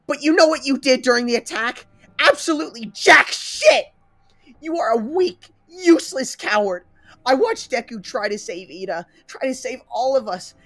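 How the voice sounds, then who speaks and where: A man voicing a furious young woman hero student who yells at her classmates. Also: no room sound, exaggerated voice. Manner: shrill yelling.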